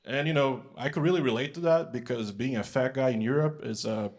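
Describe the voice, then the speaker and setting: A man's voice: mid-range voice, brisk, a little mellow. A man, a young adult, performing a stand-up comedy set on a large stage, telling stories loosely with fillers and acting out quotes. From United States. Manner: conversational storytelling with filler words and acted-out quotes. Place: large music hall on stage.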